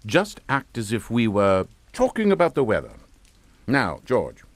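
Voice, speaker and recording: A man's voice: fairly low pitch, huge pitch swings, measured pace, dry recording. An actor playing a pompous, domineering middle-aged English father in a radio suspense drama, scolding and emphatic. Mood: stern, urgent.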